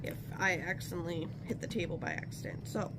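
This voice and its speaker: A woman's voice: on the low side, steady tone, fast talker, noisy background. A woman with a stuffy nose from a cold, chatting casually and rambling as she works on a craft at home. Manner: chatty, rambling, self-interrupting. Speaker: crafting vlogger. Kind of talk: casual talk while crafting.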